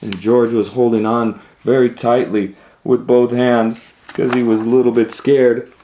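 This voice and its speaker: A man reading a story aloud to young children in a dramatic, loosely retold way, moving between hushed asides and excited swells. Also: mid-range voice, measured pace. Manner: dramatic, hushing to near whispers and swelling with excitement. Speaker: storytime host and reader.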